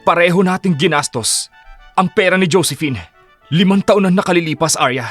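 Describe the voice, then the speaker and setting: A man's voice: high-pitched, brisk, a bit bright, soft background music. A man playing a desperate, guilty, scheming husband in an audiobook drama, speaking tensely and defensively.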